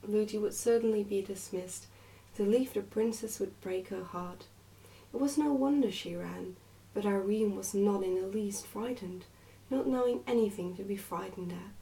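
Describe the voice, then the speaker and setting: A woman reading a storybook as soft-spoken, measured ASMR narration. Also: mid-range voice, vocal fry, reverberant, distant mic, rough recording. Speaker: storybook reader.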